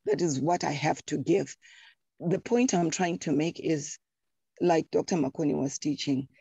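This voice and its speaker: Gravelly, husky woman. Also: sits low, unhurried, mellow, dry recording, muffled recording. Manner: earnest, repeats words while thinking. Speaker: church congregant. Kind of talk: panel discussion contribution.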